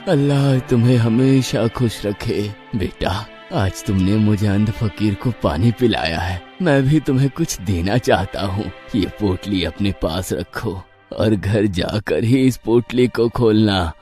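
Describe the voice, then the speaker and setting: An actor playing a frail old blind beggar, pleading and kindly, in a moral cartoon. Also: male voice, lowish voice, slow pace, warm tone, faint music behind. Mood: grateful, gentle. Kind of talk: Hindi moral cartoon dubbing.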